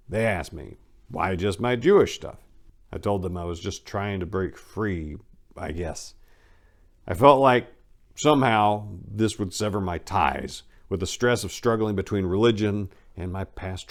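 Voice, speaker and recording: Low-voiced man reading a story dramatically. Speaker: audiobook narrator. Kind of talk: dramatic first-person reading.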